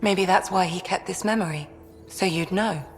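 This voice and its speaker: A woman playing a caring, wry ship's doctor in video game dialogue, speaking gently. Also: on the low side, normal speed, soft background music, lightly acted. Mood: gentle.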